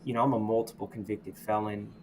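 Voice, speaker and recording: A middle-aged man, a podcast guest from the United States, talking candidly about his past in a reflective, halting way, often restarting and saying "you know.". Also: lowish voice, flat delivery, a little mellow, slight background noise. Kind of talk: candid personal storytelling.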